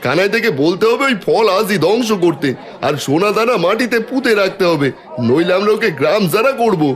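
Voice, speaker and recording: A man's voice: sits high, animated, fairly quick. A man voicing a sly, greedy village conniver in a cartoon, insinuating and exclamatory. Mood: rabble-rousing. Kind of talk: Bengali cartoon voice acting.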